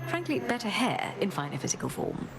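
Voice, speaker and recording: A woman's voice: medium pitch, very animated, fairly quick, very noisy. A woman playing a haughty, withering mature queen of the gods in a video game, regal, dry and cutting.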